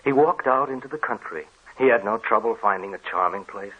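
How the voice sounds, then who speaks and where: A man narrating an old radio horror drama in a grave, measured way. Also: fairly low pitch, bright, forceful tone, husky, poor audio.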